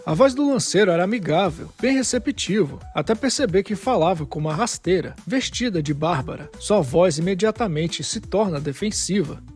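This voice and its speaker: High-pitched male story narrator. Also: lively intonation, moderate pace, hardly any pauses, darkish tone, faint music behind. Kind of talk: scripted dramatic story narration.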